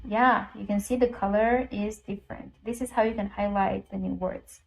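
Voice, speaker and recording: A woman's voice: mid-range voice, fairly even intonation, normal speed, vocal fry, poor audio. A woman whose first language is Persian, a language teacher casually walking viewers through an app step by step with many ums and uhs. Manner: chatty, explains step by step with frequent ums and uhs. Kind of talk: casual screen-recorded app walkthrough.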